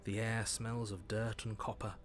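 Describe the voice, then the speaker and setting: An actor voicing the calm, wry narrator of a dark video game, speaking in a measured, faintly sardonic way. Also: male voice, lowish voice, faint music behind, close to an everyday voice.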